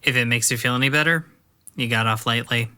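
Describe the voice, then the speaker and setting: A man's voice: mid-range voice, steady tone, fairly quick, pushed and bright, smooth. A man playing a younger cousin trying to make amends in an audio drama. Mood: wry, concerned.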